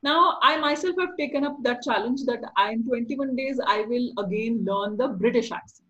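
A woman's voice: on the high side, normal speed, a bit bright, dull audio. A middle-aged woman with a slightly nasal voice, a voice-over artist talking casually as a podcast guest, instructive and playful. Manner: instructive, playful, laughs often. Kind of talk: casual interview.

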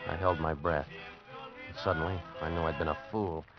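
A deep-voiced American man, wary and streetwise, tells of a risky deal in hardboiled style. It is old radio drama, muffled, with music underneath. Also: steady tone, normal speed. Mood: tense, brooding. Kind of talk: 1940s-50s radio drama acting, hardboiled first-person narration. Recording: dull vintage radio recording with music behind.

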